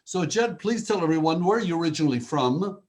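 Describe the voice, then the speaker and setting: A man's voice: a little high, animated, brisk, darkish tone. A man hosting a video call, introducing guests with eager praise and asking questions. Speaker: host.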